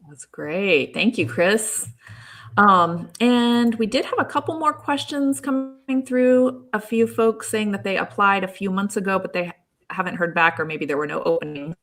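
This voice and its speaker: A woman's voice: medium pitch, moderate pace, fairly bright tone. A woman hosting a live online Q&A, passing questions to her panel in a friendly, conversational way. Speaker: show host.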